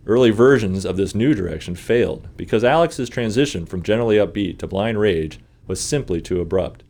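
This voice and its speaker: A man's voice: on the low side, a little mellow. A man giving scripted commentary as a game developer.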